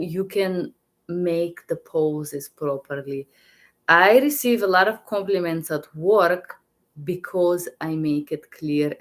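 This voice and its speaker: Slow, low-voiced woman with an accent. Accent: Bulgarian. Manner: halting, repeats words, restarts often. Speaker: medical interpreter trainer. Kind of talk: casual live-stream teaching.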